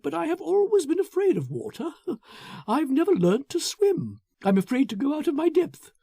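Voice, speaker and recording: A man's voice: very high-pitched, warm tone, breathy edge, no room sound, clean studio sound, voice pushed up, heavily acted. A man voicing an eager, self-satisfied, sentimental and patronizing thin man in an audiobook, prattling on effusively. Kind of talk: audiobook character acting.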